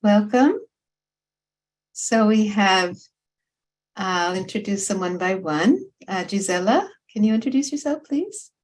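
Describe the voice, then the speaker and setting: A woman leads an online meditation program as its host. Her voice sits at a middle pitch as she gives welcomes, practical notes and introductions over a video call. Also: animated, a little slow, pauses often. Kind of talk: welcoming announcements to a group.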